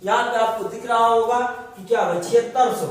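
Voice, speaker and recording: High-voiced man lecturing in an echoey room. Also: normal speed, recorded from a distance, noisy background. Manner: explains step by step, asking and answering his own questions. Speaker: teacher. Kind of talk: classroom lecture.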